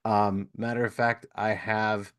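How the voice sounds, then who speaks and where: Lowish guy hosting a podcast, rambly, says you know a lot. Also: flat delivery, a little slow, a bit bright, clear, resonant tone. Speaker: podcast host. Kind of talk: casual interview chat.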